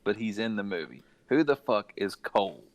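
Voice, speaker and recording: Blunt, fairly low-voiced man. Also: big pitch swings, normal speed. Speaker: podcast co-host. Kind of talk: casual opinionated chat.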